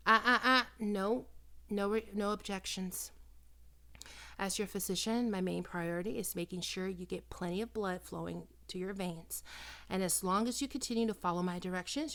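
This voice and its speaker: A woman acting in an ASMR roleplay as a doting, possessive vampire posing as a small-town doctor, coaxing and teasing, often dropping into breathy whispers. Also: medium pitch, fairly bright tone, close to an everyday voice. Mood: firm. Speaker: doctor character in audio roleplay.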